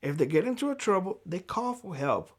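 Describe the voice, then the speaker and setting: A man speaking straight to camera in a vlog, giving blunt, lecturing advice in a hoarse voice. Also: sits high, big pitch swings, fairly quick. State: hoarse, losing his voice. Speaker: prepper YouTuber. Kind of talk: direct-to-camera vlog monologue. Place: homestead.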